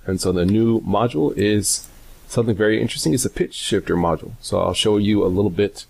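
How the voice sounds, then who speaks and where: A man giving a casual software tutorial, hesitant and informal as he thinks aloud. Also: lowish voice, quick pace, gravelly, slight background noise. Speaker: tutorial host.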